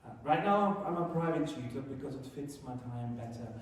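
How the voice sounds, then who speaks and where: A man's voice: mid-range voice, lively intonation, a little fast. A man from Germany, a teacher, leading a conference workshop in a self-deprecating, joking manner. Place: conference room. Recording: echoey room, distant mic, faint noise.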